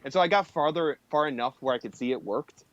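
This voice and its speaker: A man's voice: a little high, fast talker, fairly bright tone, dry recording, dull audio. A man talking in an informal, sweary way in a casual tech and gaming chat. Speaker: programmer guest on a stream. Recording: music playing behind the talk. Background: music.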